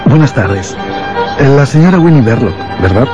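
An actor playing a courteous police inspector in a radio drama, probing politely and a little hesitantly, over music. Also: male voice, mid-range voice, very animated, moderate pace, lo-fi sound, lightly acted. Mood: polite, careful. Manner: polite, hesitant, circling his questions.

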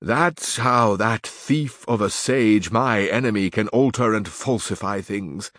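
A man voicing a deluded old knight in a storybook reading, with slow, lofty, archaic speech. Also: on the low side, a bit bright, no echo. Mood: indignant. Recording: dry close recording.

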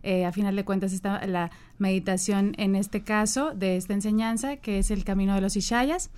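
A Mexican woman speaks as a guest teacher in an interview. Her voice is smooth and clear, at a middling pitch. She explains things informally with a Mexican accent, often checking in with small tag questions. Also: fairly even intonation, normal speed, a little mellow. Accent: Mexican Spanish. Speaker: meditation teacher, radio guest.

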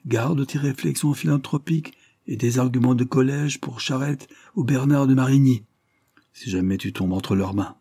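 Storybook villain acted by a man: menacing revolutionary official, ironic and contemptuous, declamatory delivery, raised pitch, dark timbre. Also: normal speed, breathy edge, dry recording, clean studio sound. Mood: threatening.

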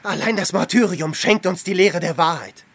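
An actor in a radio drama playing an ascetic hermit saint, fervent and full of doubt, with anguished delivery that swings between murmur and outburst. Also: male voice, high-pitched, normal speed. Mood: fervent, agitated. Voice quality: husky, breaks into whispers.

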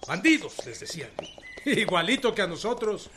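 An actor playing a resolute, proud rural Mexican revolutionary general in a radio drama, speaking plainly with folksy country turns and a Mexican accent. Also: male voice, high-pitched, sing-song, normal speed, pushed and bright, slight background noise, full character voice. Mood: plain-spoken, reflective.

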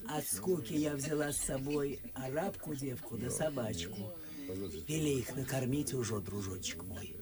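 A woman's voice: extremely low pitch, normal speed. An older actress playing an imperious, grumbling society aunt of sixty-five in a theatrical radio play, blunt and drawling in her complaints. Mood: imperious, gossipy. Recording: studio drama with crowd murmur behind.

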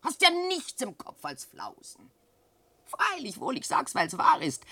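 A woman's voice: mid-range voice, wildly swooping pitch, a little slow, sharp tone, breathy edge, no echo, heavily acted. An actress playing an old peasant widow and mother who scolds and laments in declamatory verse in a radio drama. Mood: reproachful, wistful. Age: older. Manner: declamatory, nagging and wailing, dramatic swings.

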